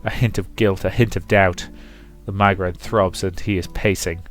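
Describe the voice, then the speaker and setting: Low-voiced man narrating theatrically over music. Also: steady tone, moderate pace. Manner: rhythmic, theatrical reading. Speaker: let's play host. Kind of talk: dramatic narration of visual novel, with commentary.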